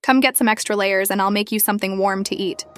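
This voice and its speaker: A woman's voice: medium pitch, steady tone, fast talker, a bit bright, soft background music, close to an everyday voice. A woman playing a caring, concerned young woman at home in earnest, conversational audio drama dialogue. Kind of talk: casual domestic drama dialogue.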